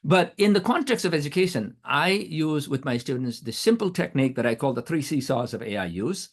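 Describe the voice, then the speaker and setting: Male professor, middle-aged, native Nepali speaker, earnest and questioning delivery, expressive rise and fall, slightly irritated throat. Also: on the high side, brisk. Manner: earnest, questioning, frequent restarts. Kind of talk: academic talk.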